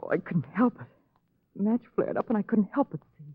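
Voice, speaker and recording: Husky, excitable radio sidekick. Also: female voice, mid-range voice, brisk, no room sound. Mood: horrified. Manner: expressive, melodramatic. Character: excitable, teasing young lady sidekick to detective. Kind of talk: 1940s radio drama acting. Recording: tinny, narrow old radio recording.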